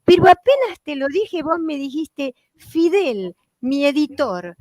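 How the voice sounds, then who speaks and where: A woman's voice: sits high, animated, a little slow, darkish tone, no echo, clean studio sound. A woman hosting an online reading talk, searching for her words with many fillers. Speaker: presenter. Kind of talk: hosting conversation.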